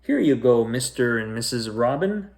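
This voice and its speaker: A man voicing an excitable, curious little girl from a children's storybook, with an earnest, exclaiming delivery. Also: mid-range voice, normal speed, vocal fry. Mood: kindly. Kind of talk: children's storybook character reading.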